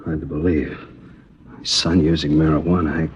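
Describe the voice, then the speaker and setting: Deep, gruff disappointed father. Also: level tone, normal speed, a little mellow. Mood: bewildered, hurt. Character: stern, bitterly disappointed middle-aged father of a teenager. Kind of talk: 1950s radio crime drama acting. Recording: dull noisy old radio recording.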